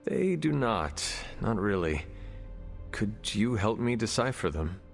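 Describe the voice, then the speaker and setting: A man playing a stoic, probing warrior hero in a video game cutscene, with a gravelly voice and formal, deliberate speech. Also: lowish voice, sing-song, faint music behind, heavily acted. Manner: formal, deliberate, few contractions.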